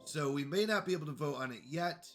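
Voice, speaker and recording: A man's voice: fairly high pitch, lively intonation, fast talker, faint music behind. A man with a congested voice chatting casually to viewers while playing a strategy game on a live stream. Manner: chatty, greets chat viewers.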